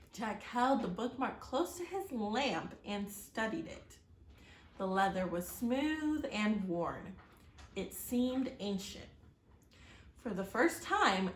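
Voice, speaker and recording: A woman reading a children's story aloud like a teacher, expressively, pausing to address her young listeners with questions. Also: medium pitch. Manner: expressive, acts out exclamations and gasps. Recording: roomy echo, faint background noise.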